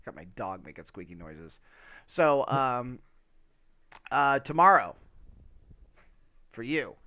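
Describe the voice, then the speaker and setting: A man's voice: mid-range voice, sing-song, slow pace, bright, forceful tone, a little hiss or hum. Rambling, wry man chatting about games. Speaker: podcast co-host and game streamer. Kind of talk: casual gaming chat.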